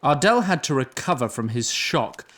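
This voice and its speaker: An actor as a composed, wry storyteller, giving literary scripted narration for a fantasy audio drama. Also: male voice, medium pitch, very animated, moderate pace, a bit bright.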